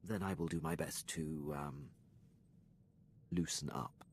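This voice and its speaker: An actor playing a formal, dutiful imperial starship captain in a video game, with stiff, deferential and precise military diction. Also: male voice, low voice, very animated, slow pace, gravelly, slight background noise, exaggerated voice. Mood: stiff, awkward. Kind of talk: scripted video game dialogue.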